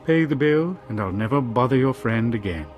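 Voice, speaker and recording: A man acting a smooth, menacing arms dealer in a video game, oily and businesslike. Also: medium pitch, lively intonation, fairly quick, soft background music, lightly acted. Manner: oily, businesslike, veiled threats.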